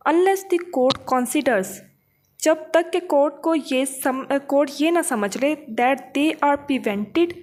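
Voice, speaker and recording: A woman's voice: high voice, darkish tone. A woman giving an informal law lesson, explaining step by step, repeating points with frequent check-in tags and mixing in English legal terms. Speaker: law lecturer.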